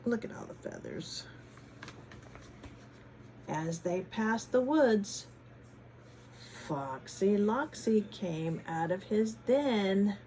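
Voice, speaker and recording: A woman reading a picture book aloud to children in a teacherly way, pausing to ask them questions and adding dramatic emphasis. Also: medium pitch, animated, dark tone, slight background noise, rough recording. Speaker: children's story reader, former teacher. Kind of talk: animated picture-book read-aloud with chatty asides to children.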